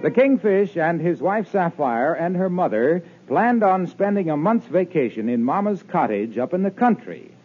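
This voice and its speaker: A man's voice: fairly high pitch, big pitch swings, normal speed, hardly any pauses. A man announcing and narrating a scripted 1950s radio show in a formal, emphatic manner. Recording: muffled old radio broadcast. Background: loud music.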